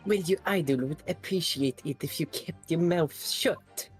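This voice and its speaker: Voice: mid-range voice, measured pace, faint music behind, close to an everyday voice. A streamer voicing a smug, evasive male witness in a fan dub of a game, speaking in a condescending way.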